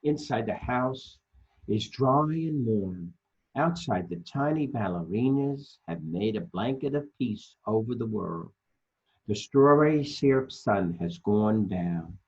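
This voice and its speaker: A man reads a children's story with a very lively, swinging pitch and a husky edge to his voice. He addresses his young listeners directly, as a storytime host does. The recording is dull and lo-fi. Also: slow pace. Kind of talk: animated children's picture-book read-aloud.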